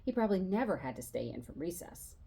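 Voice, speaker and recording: A woman reads a children's storybook aloud in a fairly low, slightly husky voice. Her delivery is animated and expressive, rising and falling widely as she narrates as a young boy. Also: fast. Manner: animated, acts out dialogue. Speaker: library storyteller reading a children's novel aloud.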